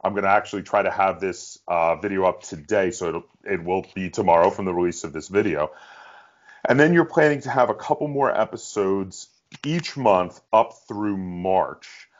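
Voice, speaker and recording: A man's voice: deep voice, expressive, moderate pace, dull audio. A man hosting a casual interview, asking questions and commenting in a conversational style. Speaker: interviewer, online show host.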